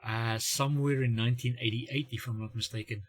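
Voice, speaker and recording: A middle-aged man delivers a comedic monologue in a medium-pitched, slightly husky voice. He is wry and self-mocking, playing out little exchanges and pausing for dramatic effect. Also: fairly even intonation. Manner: wry, self-mocking dad humour with dramatic pauses and acted-out dialogue. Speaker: host of a family humour channel.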